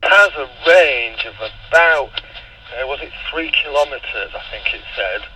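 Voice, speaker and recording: A man's voice: mid-range voice, animated, measured pace, sharp tone, slightly rough, rough recording. A man with a British accent giving a casual running commentary over a walkie-talkie radio as he walks. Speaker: product reviewer. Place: outdoors on residential streets. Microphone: handheld walkie-talkie.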